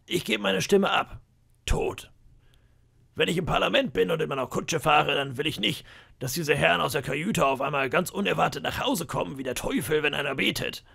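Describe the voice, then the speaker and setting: A man's voice: fairly high pitch, moderate pace, creaky, bright, forceful tone, slightly rough, pitched above the performer's own voice, full character voice. A man voicing a cunning old pirate cook in an audiobook, wheedling and boastful with flashes of menace. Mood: scheming. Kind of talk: audiobook character voice.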